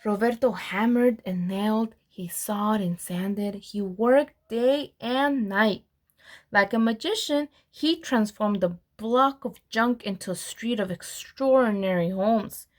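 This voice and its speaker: A woman reading a children's storybook aloud in an expressive storyteller's manner, with deliberate pauses. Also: medium pitch. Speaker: program administrator reading aloud.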